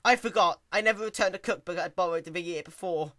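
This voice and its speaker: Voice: medium pitch, fast, bright, forceful tone, dry recording, studio quality, pitched above the performer's own voice, natural voice. A performer voicing a nervous, apologetic young male pony who stutters and hesitates in a storybook reading. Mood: sheepish, fearful.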